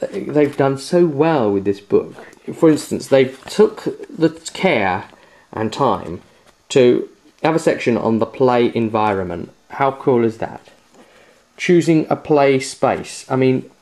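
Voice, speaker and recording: A man with a medium-pitched voice talks casually to viewers as a video host. He is enthusiastic and chatty, leaning on key words as he walks through a product review. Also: darkish tone. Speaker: host of a tabletop gaming video series.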